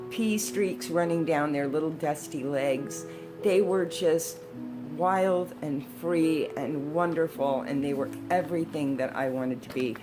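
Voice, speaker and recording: Low-voiced older American woman reminiscing over music. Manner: reflective, conversational. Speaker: storytelling vlogger. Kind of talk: personal reminiscing, casual storytelling.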